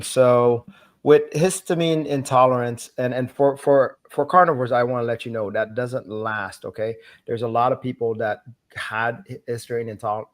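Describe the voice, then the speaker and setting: A man answering viewer questions in a casual live chat, hesitant and often repeating words. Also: mid-range voice.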